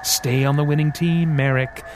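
A man's voice: fairly high pitch, very animated, moderate pace, background noise, voice pushed up. A man voicing a sly, mocking devil. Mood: taunting. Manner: teasing, sarcastic, theatrical. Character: sly mocking male devil, smooth, menacing.

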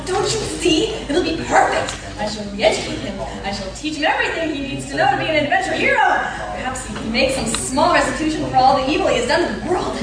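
A scheming, self-important lady adventure writer, played by a woman, high-voiced and wildly expressive, with grand, mock-innocent airs. This is comic radio theatre, recorded from afar in a very echoey hall. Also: fast talker, hardly any pauses, crowd noise. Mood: grandiose, scheming. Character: scheming, boastful female adventure-story writer, gleefully theatrical. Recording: echoey hall, distant microphone.

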